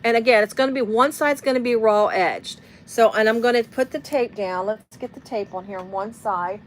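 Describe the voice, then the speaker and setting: A woman's voice: on the high side, normal speed. A middle-aged woman, hosting a casual live sewing demonstration from her home sewing room and rambling into side stories with lots of fillers. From Midwestern US. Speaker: sewing tutorial host.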